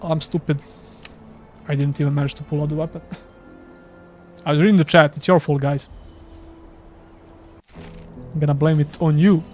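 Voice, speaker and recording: A man's voice: a little high, fairly even intonation, moderate pace, pauses often, a little mellow, soft background music. A man from Serbia with a Serbian accent, a gaming streamer chatting casually and self-deprecatingly while playing live. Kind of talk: casual live gameplay commentary.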